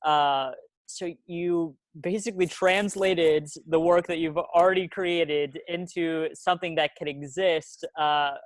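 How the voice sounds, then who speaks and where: A man asks long, winding interview questions, stopping often on "uh" as he finds his words. His voice sits a little higher than most men's. The recording is close and dry, with no echo around it. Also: steady tone. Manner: halting, frequent "uh" fillers.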